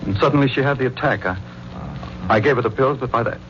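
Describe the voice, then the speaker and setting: An actor playing a distinguished, coldly calculating judge nearing fifty in 1940s radio style, measured and formal. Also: male voice, mid-range voice, a bit bright, slight background noise, lightly acted. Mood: feigned distress. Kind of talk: 1940s radio acting with first-person narration. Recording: dull old radio recording, music behind.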